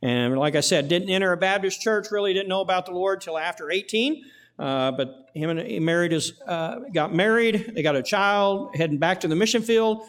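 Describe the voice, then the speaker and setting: Folksy higher-voiced pastor. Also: male voice, expressive, normal speed, a bit bright. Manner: folksy, repeats words, many fillers. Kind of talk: church announcements and prayer. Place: church sanctuary.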